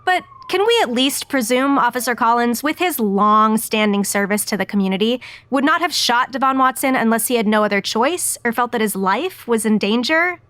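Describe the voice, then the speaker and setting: Woman as high, stern talk show hostess. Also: lively intonation, normal speed, few pauses, a bit bright, dry recording. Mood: leading, insistent. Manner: assertive, pointed, leading. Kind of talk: scripted talk show commentary in an audio drama.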